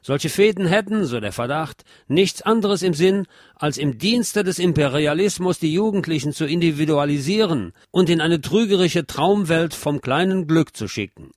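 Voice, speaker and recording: A man reading a scripted documentary narration in a measured, factual way. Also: a little high, lively intonation, no room sound, clean studio sound.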